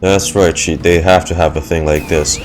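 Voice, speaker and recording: A man's voice: deep voice, steady tone, moderate pace, soft background music. A man doing casual, joking reaction commentary as a game streamer. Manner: playful, joking, mimics sound effects.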